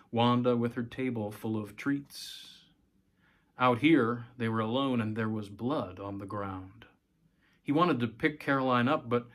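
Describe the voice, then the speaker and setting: A man in his forties reading a short story aloud as scripted narration. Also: medium pitch. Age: 40s. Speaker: story reader, host. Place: small room at home.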